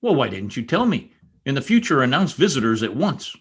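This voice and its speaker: A man's voice: mid-range voice, big pitch swings, a little fast, husky. A man playing a bitter, commanding former stable boy turned rich, sardonic, in old-time radio drama style.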